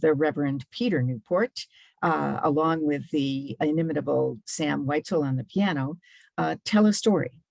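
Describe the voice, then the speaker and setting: A woman minister giving a relaxed, casual welcome, halting now and then. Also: deep voice, creaky, no echo. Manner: relaxed, halting with small pauses.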